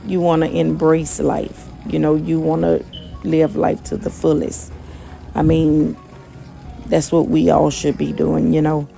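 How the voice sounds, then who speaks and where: A woman in a casual vlog, talking about motivation in an unhurried monotone. Her voice is deeply gravelly and mellow. Soft music plays faintly behind her. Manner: monotone, self-described; conversational, many fillers and restarts. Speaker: motivational vlogger and gig worker.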